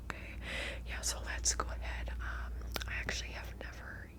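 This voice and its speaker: A woman whispering an ASMR roleplay as a kindly witch who reads tarot, softly and unhurriedly, repeating words and using terms of endearment. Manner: soft, unhurried, repeats words, affectionate address.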